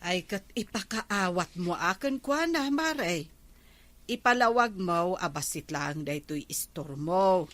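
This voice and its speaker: A woman's voice: lowish voice, expressive, slow pace, fairly bright tone, no room sound. A woman with an Ilocano accent playing an older, easily offended village store keeper in a comedy drama. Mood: concerned, advising.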